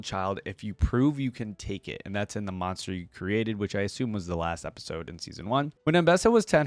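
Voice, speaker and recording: A man's voice: fairly low pitch, no room sound. A man giving casual reaction commentary, reading text aloud and adding conversational asides. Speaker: reaction video host.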